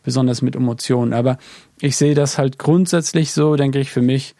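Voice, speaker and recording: A man's voice: mid-range voice, steady tone, a little fast, mellow, no echo, clean studio sound. A man from Germany talking reflectively in a casual podcast conversation, thinking aloud with many fillers. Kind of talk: casual conversation about worship music.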